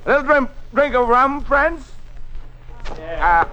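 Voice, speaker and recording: An actor playing a drunken, boastful husband who rambles theatrically in an old-time radio drama. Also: male voice, extremely high pitch, lively intonation, slow pace, lo-fi sound, heavily acted. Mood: boastful, loud. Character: drunken, boastful, rambling small-town husband craving rum.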